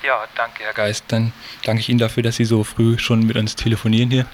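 A man's voice: medium pitch, monotone, fairly quick, slight background noise. A man hosting a live radio interview.

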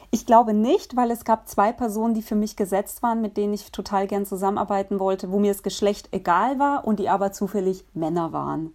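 A woman musician answering interview questions in a relaxed, thoughtful way, pausing often with fillers and restarting her sentences. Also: mid-range voice. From Germany. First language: Polish.

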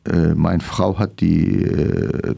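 A man's voice: sits low, level tone, extremely slow, creaky, mellow. A man from Syria, a restaurant owner answering interview questions in hesitant German with many fillers.

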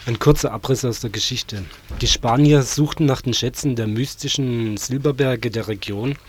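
A man reading a scripted background report on the radio in an even, matter-of-fact way. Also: mid-range voice, moderate pace, slight background noise. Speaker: radio contributor.

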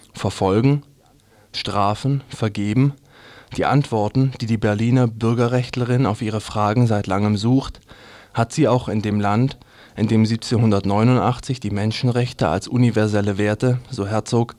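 A man's voice: lowish voice, a little mellow. A man reading a scripted news commentary as a radio presenter.

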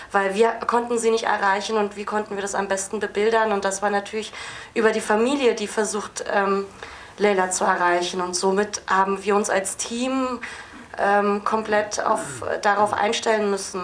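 A woman film director giving spontaneous, explanatory answers at an audience Q&A, hesitating as she goes. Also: mid-range voice. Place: film festival screening venue. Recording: noisy live event recording.

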